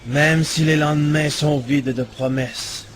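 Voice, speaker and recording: Un homme jouant en français un jeune guerrier de jeu vidéo fier et assoiffé d'héroïsme, au ton hautain et déclamatoire. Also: male voice, on the high side, steady tone, slow, a little hiss or hum. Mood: solemn, lyrical. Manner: poetic, declamatory, haughty. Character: proud, theatrical young male warrior hungry for heroism. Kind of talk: French fan dub of a video game.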